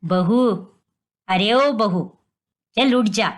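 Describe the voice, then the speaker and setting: A woman voicing a stern, suspicious older mother-in-law in a story, bossy, sharp-tongued and scolding. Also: mid-range voice, very slow, voice pushed down.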